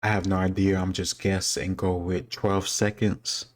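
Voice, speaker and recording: A man's voice: deep voice, flat delivery, measured pace. A man giving casual, rambling commentary as he answers quiz questions, thinking aloud and candid about what he doesn't know. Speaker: quiz-taker sharing his own commentary.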